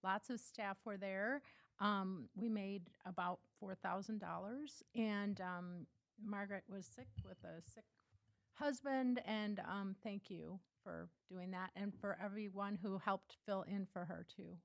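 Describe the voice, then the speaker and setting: A woman's voice: mid-range voice, measured pace, vocal fry, no room sound. A woman on a board giving chatty thanks to staff at a meeting, with many ums. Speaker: board member.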